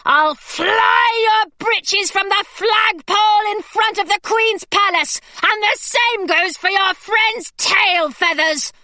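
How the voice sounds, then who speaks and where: A defiant young heroine, as a woman voices her, high and bright with lively, sweeping intonation. She sounds spirited and fearless, and the recording is dry and close. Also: a little slow, hardly any pauses, dry recording, exaggerated voice. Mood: fierce. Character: bold fierce young girl adventurer.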